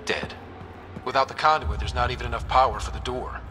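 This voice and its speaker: An actor playing a curious, determined young space explorer in a game, with a casual, decisive manner. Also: male voice, medium pitch, steady tone, fairly quick, pushed and bright, faint music behind, natural voice. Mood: frustrated, determined. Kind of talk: video game voice acting.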